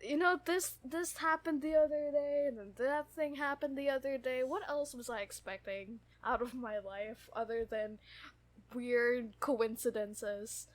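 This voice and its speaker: A young adult woman in casual fan chat as a podcast co-host, animated and enthusiastic, often repeating her phrases. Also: high-pitched, measured pace, no echo.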